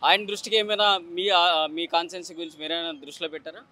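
A male reporter asks questions in a street interview. His voice is high and bright, and he talks at a quick clip. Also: level tone. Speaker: news reporter. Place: outdoors on a city roadside. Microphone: handheld microphone.

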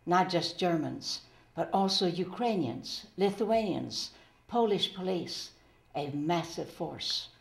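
A resolute resistance fighter read by an older woman recounts in an earnest dramatic reading. The voice is fairly low for a woman and rings with room echo. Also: animated, takes it slowly, gravelly, heavily acted. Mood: grave, resolute. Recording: roomy echo.

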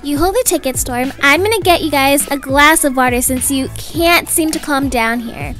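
A young woman narrating an animated roleplay story as a brisk, slightly exasperated mother. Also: high voice, faint music behind. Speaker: storyteller voicing a roleplay video.

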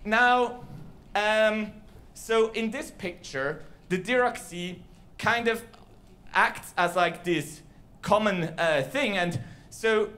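High-voiced man on stage. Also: slow pace, sharp tone. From Switzerland. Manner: casual, explanatory, with fillers. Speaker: science slam presenter. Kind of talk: live science talk to an audience. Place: large dark theater stage. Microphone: handheld microphone.

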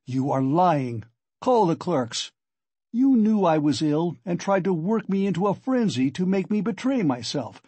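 A feverish, cornered young man acted by a male audiobook reader spits out defiant demands. His voice is pitched high and slightly rough, furious and agitated, in short bursts, recorded very dry. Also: very animated, unhurried, mellow, dry recording, exaggerated voice. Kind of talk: audiobook character dialogue.

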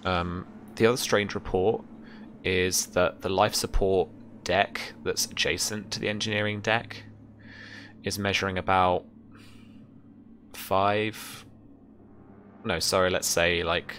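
A young man improvising tabletop game narration as game master, halting and thinking aloud. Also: low-pitched, fairly even intonation, a bit bright, slight background noise. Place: small room at home, indoors.

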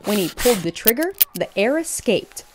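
A woman reading a children's picture book aloud in an expressive, emphatic storytelling manner. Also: mid-range voice, normal speed, background noise.

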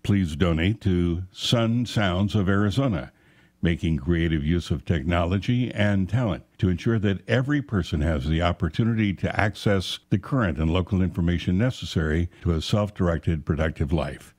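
Deep-voiced male announcer. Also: moderate pace, a little mellow. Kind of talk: scripted announcement.

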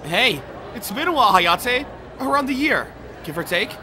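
A man's voice: extremely high pitch, very animated, moderate pace, background noise. A man playing a cheeky, good-natured young professor in an audio drama, playful and bantering. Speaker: voice actor. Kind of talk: audio drama acting with first-person narration.

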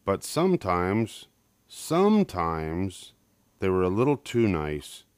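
A man's voice: deep voice, sing-song, takes it slowly, darkish tone, clear, resonant tone, no room sound. An older man narrating a children's picture book as a scripted story reading.

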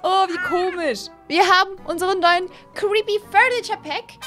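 High-pitched young woman gaming host. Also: moderate pace. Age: 20s. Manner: excitable, gushing, peppered with English words. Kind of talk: casual let's-play commentary addressed to viewers. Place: small home gaming room, indoors. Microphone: close desk microphone on an arm, with headset. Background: background music.

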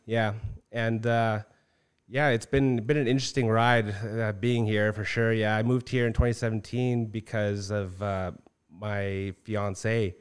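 A man in his thirties with a lowish, smooth and clean voice, talking casually into a studio mic. He comes across as earnest, with plenty of "uh" and a habit of tagging "right?" onto his sentences. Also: little pitch movement, a little slow. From northern Saskatchewan, Canada. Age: 30s. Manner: earnest, often tags "right?" and "uh". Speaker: guest, council candidate. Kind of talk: casual interview chat. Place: small studio room with armchairs. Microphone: boom-mounted studio mic.

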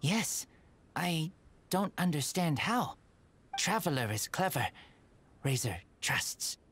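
A man voicing an earnest, wolf-raised teenage boy in a game, with a breathy rasp and halting, clipped phrases. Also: on the high side, sing-song, fairly bright tone, slight background noise, exaggerated voice. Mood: earnest, guarded. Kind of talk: game voice acting.

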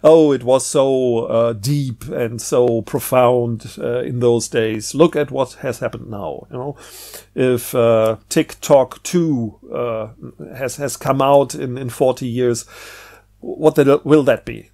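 A man's voice: mid-range voice, mellow. A middle-aged man talking casually and unscripted about books, hesitant and rambling, often repeating words as he thinks aloud. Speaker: book reviewer.

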